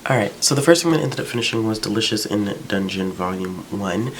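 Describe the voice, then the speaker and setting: Deep-voiced man chatting casually. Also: fairly quick, a little hiss or hum. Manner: chatty, relaxed, with fillers like "um" and "like". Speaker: book vlogger. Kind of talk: casual book review vlog.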